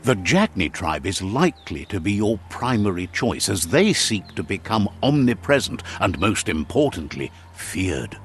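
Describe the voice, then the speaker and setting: A man giving measured, dramatic storybook narration for a game. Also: low-pitched, sing-song, slight background noise.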